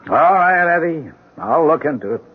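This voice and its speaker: A man's voice: a little high, animated, moderate pace, phone-quality sound, lightly acted. A man playing a skeptical, world-weary police lieutenant in a radio drama, questioning brusquely with exasperation. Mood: weary, relenting. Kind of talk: old-time radio drama acting. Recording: thin, hissy 1970s radio broadcast.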